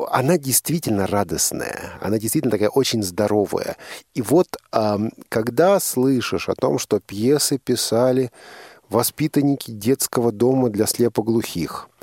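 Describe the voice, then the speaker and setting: Husky, gravelly male radio interviewer. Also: mid-range voice, a little mellow, no room sound, clean studio sound. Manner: earnest, emphatic, halting with repetitions. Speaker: radio host interviewing a guest.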